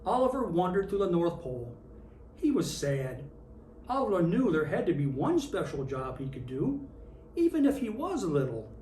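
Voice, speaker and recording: A reader in character as Santa Claus, reading a children's picture book aloud with expressive storytelling. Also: medium pitch, normal speed. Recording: roomy, distant mic with faint noise.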